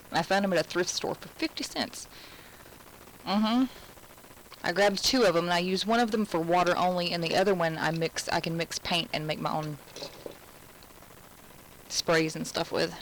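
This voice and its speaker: A woman's voice: fairly low pitch, slight background noise, low-quality recording. A woman from Alabama with an Alabama Southern accent, hosting a live crafting class from home and chatting in rambling asides while she works. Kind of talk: live-streamed crafting tutorial.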